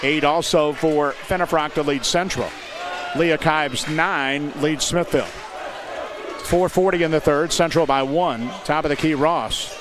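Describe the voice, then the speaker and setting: An American man doing live play-by-play commentary of high school basketball in clipped, descriptive phrases. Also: a little slow. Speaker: play-by-play announcer. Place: indoor high school gymnasium. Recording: noisy gym with loud crowd. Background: crowd noise and bouncing basketballs.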